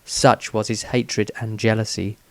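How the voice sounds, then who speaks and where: A man's voice: lowish voice, flat delivery, a little slow, vocal fry, no echo. A man reading a scripted fantasy story aloud as its narrator.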